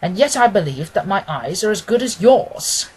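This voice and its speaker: A man voicing a mild, puzzled gentleman doctor in an audiobook, earnest and formal as a loyal companion. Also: sits high, sing-song, normal speed, slight background noise, rough recording. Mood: baffled. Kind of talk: dramatised audiobook reading.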